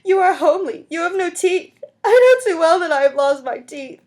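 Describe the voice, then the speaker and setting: A woman's voice: extremely high pitch, expressive, normal speed, a little mellow, voice pushed up. A woman voicing a destitute, desperate mother in a storybook reading, pleading tearfully in an agitated way.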